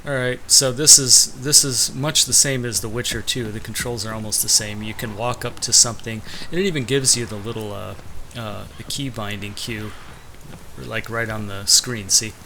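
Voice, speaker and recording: A man's voice: lowish voice, fairly even intonation, moderate pace, bright, forceful tone, a little hiss or hum, poor audio. A man giving casual, sardonic gameplay commentary with a complaining streak. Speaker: let's play gamer.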